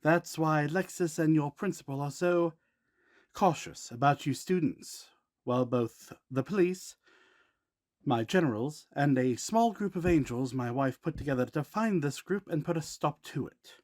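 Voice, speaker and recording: A man's voice: a little high, a little slow, darkish tone, natural voice. A man playing a playful but protective supernatural grandfather who co-hosts scripted school announcements. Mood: serious, protective.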